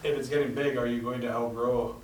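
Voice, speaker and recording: A man's voice: medium pitch, steady tone, brisk. Curious middle-aged man asking questions. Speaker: visiting questioner. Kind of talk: casual interview questions. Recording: roomy, distant mic, background noise.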